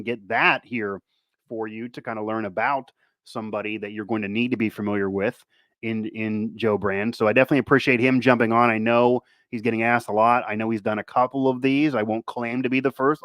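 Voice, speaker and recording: A man's voice: mid-range voice, a bit bright, no room sound. A man hosting a sports show, talking casually in a rambling way that circles back on itself. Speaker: sports podcast host. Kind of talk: casual sports talk and interview.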